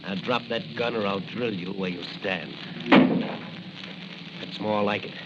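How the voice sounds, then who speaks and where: An actor playing a brave, commanding American crime-fighting hero in a 1940s radio adventure serial, talking tough and slangy. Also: male voice, on the low side, a little slow, natural voice. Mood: firm, commanding. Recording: noisy, muffled 1940 radio recording.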